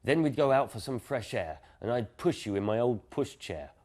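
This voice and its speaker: A man's voice: mid-range voice, expressive, normal speed, darkish tone, slight background noise, lightly acted. A man voicing a small, bossy and imaginative girl in a children's picture-book story, in a firm, matter-of-fact way. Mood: playfully bossy.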